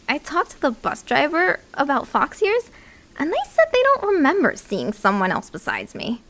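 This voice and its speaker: A woman's voice: high voice, a bit bright, full character voice. A woman playing a puzzled, wonderstruck young woman, musing aloud in an audio drama monologue.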